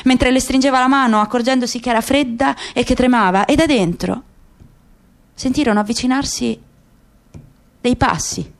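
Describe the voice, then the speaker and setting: A woman's voice: fairly high pitch, moderate pace, pauses often. A woman gives a dramatic literary reading as narrator in a radio play. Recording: clear background noise with clicks.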